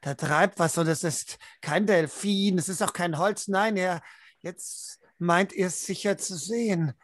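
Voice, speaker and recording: A man narrating a tabletop roleplaying game as storyteller, in a deliberate, descriptive way. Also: sits high. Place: indoor room at home, video call.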